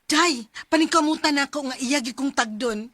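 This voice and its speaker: A woman playing an excitable, lovestruck young student in a radio drama, dramatic and emphatic, with a Cebuano accent. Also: high-pitched, normal speed, no room sound, clean studio sound. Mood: giddy, infatuated.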